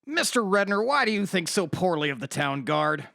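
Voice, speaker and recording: A man's voice: sits high, sing-song, moderate pace, gravelly, bright, forceful tone, no room sound, studio quality, full character voice. A man playing an earnest defense lawyer in an audio drama, questioning with probing courtroom formality.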